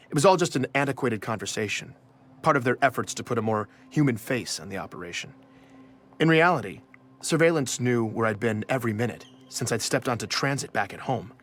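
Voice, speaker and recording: An actor playing a nervous investigative journalist in a scripted first-person audio drama. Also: male voice, lowish voice, fairly bright tone, close to an everyday voice. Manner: measured narration, hesitant in dialogue. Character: nervous male investigative journalist narrating his own story. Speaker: narrator.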